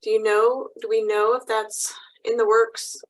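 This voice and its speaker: A woman hosts a video webinar, her voice a bit higher than average. She speaks in a friendly, open way as she invites the audience to ask questions. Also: level tone, a little slow, rough recording. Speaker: webinar moderator. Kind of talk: webinar moderation. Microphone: computer mic on a video call.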